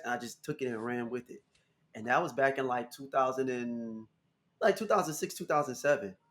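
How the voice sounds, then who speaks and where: An American man in his thirties, a guest musician telling stories in a casual interview, animated and laughing often. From United States. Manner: animated, laughing, jumps into high voice for quotes.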